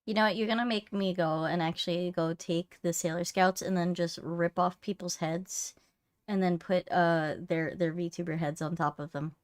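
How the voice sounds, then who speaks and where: A woman's voice: lowish voice, steady tone, moderate pace. A woman streamer in casual, playful banter with a friend on a livestream.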